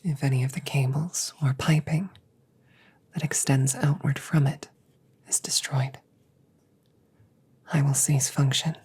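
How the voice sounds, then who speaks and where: A woman playing a calm, reassuring damaged female android in an ASMR audio roleplay, speaking in soft, breathy whispers with measured, formal phrasing. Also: a bass voice, heavily acted. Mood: calm, instructing. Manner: slow, measured, precise formal phrasing with pauses.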